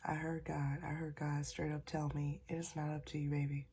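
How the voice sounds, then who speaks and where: A woman sharing a casual spiritual message, talking softly because she is a little sick, in a husky, near-whispered voice and a folksy manner. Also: low-pitched, level tone, normal speed. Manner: folksy, calls listeners y'all and child. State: slightly sick, keeping voice soft. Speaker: spiritual channeler.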